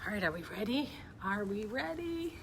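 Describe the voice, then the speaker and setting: Middle-aged female story-time host, mid-pitched, informal and chatty with kids, noisy live-stream sound. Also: sing-song, moderate pace. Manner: chatty, informal, often checking in with young listeners. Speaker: coach hosting a children's story time. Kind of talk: casual livestream chat and picture-book read-aloud. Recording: clear background noise, live stream.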